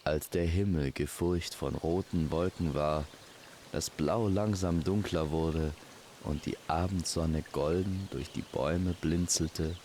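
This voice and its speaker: A man narrating a scripted fairy tale in a measured, poetic manner. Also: sits low, mellow, a little hiss or hum. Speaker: storyteller.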